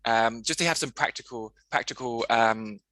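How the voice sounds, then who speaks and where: A man's voice: mid-range voice, normal speed, sharp tone, no room sound. A man hosting a casual podcast over a video call on a headset, rambling with many restarts. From English-speaking country. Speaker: podcast host and English tutor.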